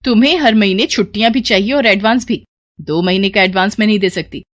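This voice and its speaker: Strict lady employer, acted by a woman: curt, scolding, mid-pitched, moral-tale dialogue. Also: a little fast, natural voice. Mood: irritated, stern. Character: stern, grudging female household employer. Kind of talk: Hindi moral story dialogue.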